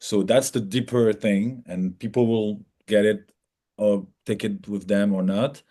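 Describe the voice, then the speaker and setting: Low-voiced man answering interview questions. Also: steady tone, measured pace, dark tone. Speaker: filmmaker being interviewed.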